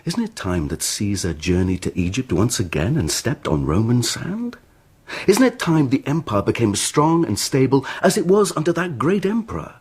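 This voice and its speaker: A man's voice: medium pitch, darkish tone, breathy edge. An actor playing a deferential but ambitious Roman envoy in a radio drama, courtly and persuasive in his talk.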